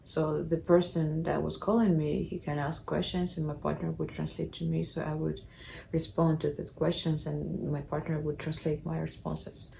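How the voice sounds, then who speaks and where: A young woman, chatting casually to camera in halting English, often restarting her sentences. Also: sits low, flat delivery, vocal fry, mellow, slight background noise, rough recording. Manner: halting, apologetic non-native English with restarts. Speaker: vlogger. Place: apartment.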